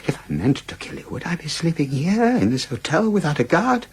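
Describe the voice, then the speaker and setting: An actor in a radio drama playing a defiant, foul-mouthed Nazi brownshirt commander, sardonic and blunt in manner. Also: male voice, on the high side, big pitch swings, a little fast. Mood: incredulous, pleading.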